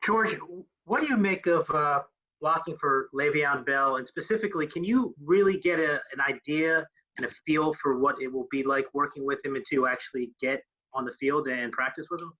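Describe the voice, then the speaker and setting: A man's voice: a little high, brisk, pushed and bright. A man working as a sports reporter, putting questions at a press conference over a lo-fi call. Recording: dull, band-limited call audio.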